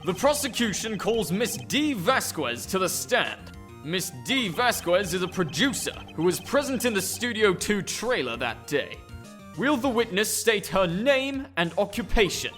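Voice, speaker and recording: Male game prosecutor, man acting: high, lively intonation, haughty, precise, formal delivery. Faint music. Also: unhurried, full character voice. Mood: assured. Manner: formal, declamatory. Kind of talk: video game fan dub acting. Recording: faint background music behind voice.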